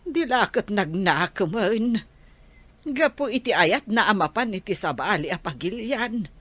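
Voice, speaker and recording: A woman's voice: mid-range voice, lively intonation, measured pace, fairly bright tone, no echo. A woman acting a gossipy, disapproving neighbour in an Ilocano radio drama.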